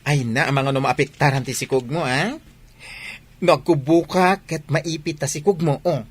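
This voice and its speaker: An actor playing a protective, doting young husband in a family drama. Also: male voice, on the high side, fairly even intonation, moderate pace, breathy edge. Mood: protective, tender.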